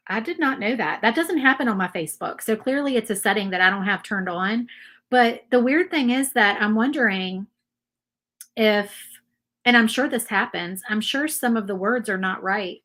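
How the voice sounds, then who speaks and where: A woman's voice: medium pitch, a bit bright. A woman from Virginia with an Appalachian accent, chatting casually and rambling to viewers while she paints on a craft livestream. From Virginia, USA. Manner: chatty, rambling, reads viewer comments aloud. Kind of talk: casual live craft stream chat.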